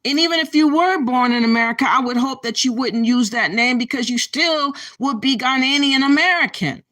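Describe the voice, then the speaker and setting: A woman's voice: sits high, normal speed, fairly bright tone, no echo, clean studio sound. A woman hosting a reaction commentary, ranting indignantly and calling her viewers "y'all.". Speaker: commentary channel host.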